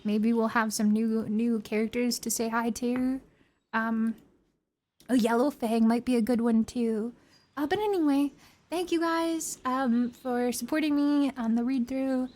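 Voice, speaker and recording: A young woman streamer with a hoarse, worn-out voice, chatting casually in a rambling way after reading a book aloud. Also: fairly high pitch, a little slow, darkish tone. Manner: chatty and rambling, with many fillers and giggles.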